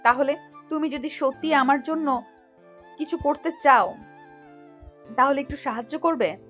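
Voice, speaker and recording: Woman as a high, soft-voiced hesitant young sweetheart. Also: a little slow, soft background music. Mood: sweet, coaxing. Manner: soft, plaintive, questioning. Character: hesitant young woman sweetly playing vulnerable to her suitor. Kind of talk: Bengali audio story acting.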